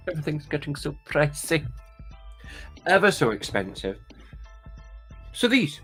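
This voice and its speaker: A man with a low voice and a light Scottish accent, chatting casually to his viewers. He rambles, hesitates and restarts his sentences a lot. He has the easygoing tone of a hobby vlogger talking things over. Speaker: toy and claw machine vlogger.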